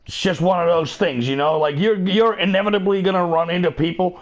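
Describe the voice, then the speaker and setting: Middle-aged dude rambling and swearing while he games, voice sits a bit high. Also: a little fast. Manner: profane, rambling, laughs often. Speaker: streamer. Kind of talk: casual chat while gaming.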